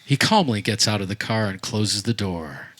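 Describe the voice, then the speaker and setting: A man's voice: fairly low pitch, lively intonation, moderate pace, fairly bright tone. A man narrating a scripted comedy radio play, recorded live outdoors. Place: backyard, before a live audience. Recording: live outdoor recording, faint background noise.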